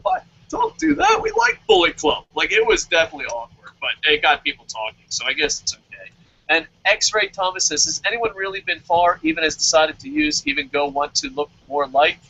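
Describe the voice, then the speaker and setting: A man hosting a casual wrestling review chat, excitable, stammering at times and bursting into loud animated quotes. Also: on the high side, fairly quick, husky. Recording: dull lo-fi audio.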